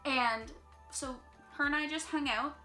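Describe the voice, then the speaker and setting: A young woman telling a personal story in a casual vlog, chatty and quick with lots of filler words, sounding a little congested. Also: high-pitched, bright, forceful tone, faint music behind. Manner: chatty, fast-flowing, heavy use of "like". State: slightly congested. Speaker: vlogger. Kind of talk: casual storytime while doing makeup.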